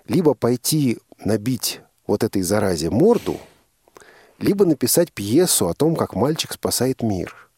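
A man's voice: mid-range voice, sing-song, unhurried, a little mellow, breathy edge, dry recording. A man hosting a conversational radio interview, talking in a discursive, opinionated way and qualifying his own remarks. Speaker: radio host. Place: radio studio.

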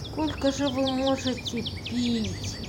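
A woman's voice: high voice, steady tone, slow, background noise. A woman playing an exasperated, easily shocked woman in an amateur audio play.

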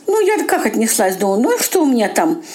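Woman, fairly high voice, amateur performer giving an informal first-person account for radio. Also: very animated, fairly quick, a little mellow, soft background music. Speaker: amateur audio-play actress. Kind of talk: reflective spoken account for a radio feature.

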